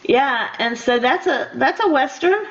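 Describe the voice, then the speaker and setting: Older woman hosting, fairly high-pitched voice. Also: expressive, measured pace. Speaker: radio show host, interviewer. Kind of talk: casual conversational interview. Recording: dull lo-fi radio interview audio.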